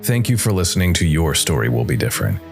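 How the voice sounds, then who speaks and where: A man hosting and narrating a scripted story podcast in a measured way, with dramatic pauses. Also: extremely low pitch, very animated, vocal fry. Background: soft music bed.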